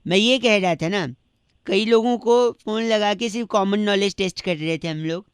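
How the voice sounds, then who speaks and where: A man's voice: sits high, normal speed, clear, resonant tone, no echo, studio quality, close to an everyday voice. A man playing a cheeky, persistent young prank caller, teasing and needling with mock politeness in a radio comedy call. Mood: mock-polite.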